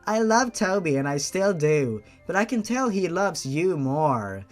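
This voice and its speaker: A man's voice: on the high side, sing-song, unhurried, faint music behind. A man voicing a remorseful young woman in love, speaking earnestly and sorrowfully in a story reading.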